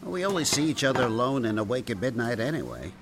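A man's voice: medium pitch, sing-song, quick pace, background noise, lightly acted. A man playing a genial veteran bartender in a radio comedy, easygoing and teasing. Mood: joking. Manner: teasing, easygoing, calls women darling. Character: genial, joking, veteran male bartender, soft on strays. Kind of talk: radio comedy drama acting. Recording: crowd chatter behind the voice.

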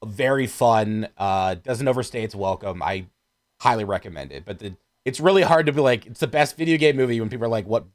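A man's voice: on the low side, animated, brisk. A man chatting casually and enthusiastically about films as a podcast co-host, with slangy, profane phrasing.

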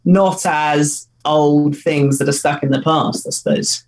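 A man's voice: a little high, fairly even intonation, a little slow. A man, co-founder of a company, answering interview questions in an enthusiastic, loose way with many fillers.

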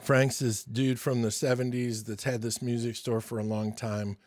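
A man's voice: medium pitch, flat delivery, moderate pace, darkish tone, no room sound, studio quality. A man in his sixties hosting a podcast, telling stories in a chatty, enthusiastic way and interviewing a guest. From Michigan, US. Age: 60s. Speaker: podcast host. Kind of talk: casual podcast monologue and interview.

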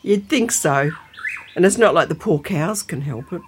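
A woman playing a brisk, dry-humoured older Australian grandmother in a naturalistic audio drama. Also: lowish voice, animated, slightly rough.

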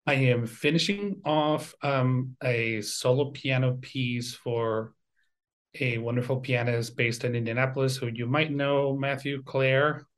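Thoughtful man from Venezuela, halting delivery. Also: medium pitch, steady tone. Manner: halting, repeats words as he thinks. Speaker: composer and university music teacher, guest. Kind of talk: reflective interview talk.

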